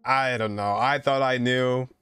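A man's voice: medium pitch, steady tone, unhurried, noisy background. A young man streaming casual game reactions in short, muttered exclamations. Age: young adult. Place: indoor room.

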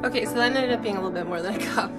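A woman's voice: mid-range voice, very fast, over loud music. A woman speaking casually and chattily as she narrates a home cooking vlog in her kitchen. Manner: chatty, informal. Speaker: vlogger and mother.

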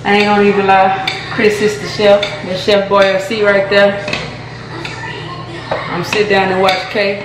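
A woman's voice: medium pitch, monotone, measured pace, long pauses, noisy background. A young American woman bantering casually in a vlog, with a slangy, playful way of talking and repeated phrases. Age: young adult. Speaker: vlog co-host. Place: home kitchen, indoors. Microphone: camera or phone a few feet away. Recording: echoey kitchen, loud clatter of pans.

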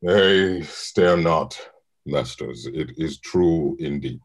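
Man reading an anguished general, deep and husky, online play reading. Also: takes it slowly, heavily acted. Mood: stern. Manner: groaning, heavy.

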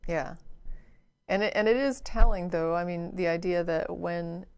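A woman's voice: lowish voice, moderate pace, a little mellow, clean tone. A middle-aged woman co-hosting a call-in show in a studio, talking thoughtfully with hedges and trailing phrases. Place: studio, at a desk. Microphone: lapel mic.

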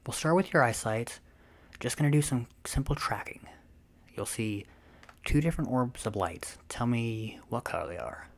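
Vampire mistress , played by a woman: smug, playful, possessive, breathy ASMR delivery, taking her time. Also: a bass voice, animated, heavily acted. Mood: commanding, pleased. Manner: self-satisfied, teasing, unhurried, often breathy and half-whispered. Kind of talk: ASMR roleplay.

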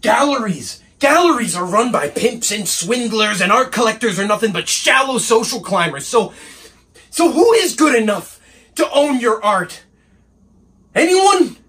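A man's voice: high voice, lively intonation, normal speed, few pauses, sharp tone, full character voice. A man performing a stage monologue as an angry, wounded young painter's assistant, bitterly sarcastic and repeating phrases for emphasis. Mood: furious, ranting. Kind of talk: dramatic stage monologue acting.

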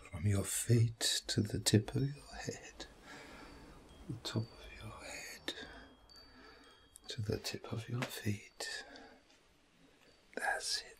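A man in his fifties giving an ASMR reiki relaxation session in a breathy, husky whisper, soothing and unhurried, with short phrases and long pauses. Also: fairly low pitch. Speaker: reiki healer. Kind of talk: ASMR guided relaxation, personal attention. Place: small room at home, indoors.

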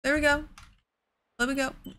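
A woman's voice: high-pitched, little pitch movement, brisk, sharp tone, a little hiss or hum. A woman giving casual let's play commentary on a video game, rambling and thinking aloud as she goes.

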